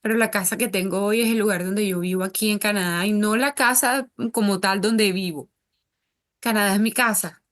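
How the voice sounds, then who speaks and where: A woman's voice: medium pitch, steady tone, normal speed, fairly bright tone. A Colombian woman speaking as an interview guest, earnest and reflective as she talks. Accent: Colombian Spanish. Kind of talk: casual interview conversation.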